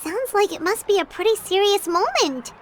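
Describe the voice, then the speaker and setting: An actress voicing a tiny, cheerful fairy companion in a video game, with a high, squeaky, bubbly voice. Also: female voice, expressive, normal speed, background noise. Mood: awed. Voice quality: high, squeaky and bubbly, talks about herself in third person. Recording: game audio with music. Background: game music.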